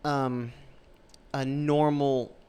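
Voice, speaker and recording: A man's voice: medium pitch, flat delivery, very slow, dark tone, smooth. A man in his late twenties co-hosting a podcast, chatting in a rambling, laid-back way. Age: 20s. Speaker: podcast co-host. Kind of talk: casual podcast chat.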